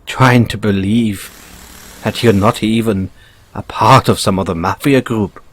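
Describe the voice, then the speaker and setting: A man with an Irish accent voicing a sly, playfully menacing bunny mechanic who is a mafia boss, in an intimate, teasing, unhurried audio roleplay style. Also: on the low side, fairly even intonation, a bit bright. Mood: teasing threat. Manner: teasing, unhurried, coaxing with tag questions.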